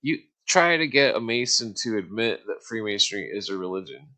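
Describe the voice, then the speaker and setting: Insistent man with a bright, forceful voice. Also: on the low side, lively intonation, fairly quick, no room sound. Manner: insistent, explanatory, repeatedly asking to be let finish. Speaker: debater on a livestream. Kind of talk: argumentative casual livestream debate.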